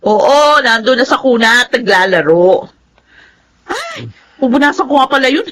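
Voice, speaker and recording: A woman's voice: high-pitched, sing-song, normal speed, fairly bright tone, exaggerated voice. An actress playing a brash, carefree young Filipino mother in a radio drama, talking in a boastful, breezy, exclamatory way. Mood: breezy, bossy.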